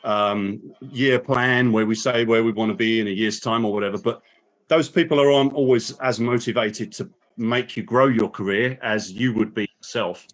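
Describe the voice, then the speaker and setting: Male panel guest, low voice, thoughtful, halting delivery. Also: low-quality recording. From not from New Zealand. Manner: many restarts and fillers, reflective. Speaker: guest speaker, business analyst. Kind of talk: casual panel talk. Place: New Zealand.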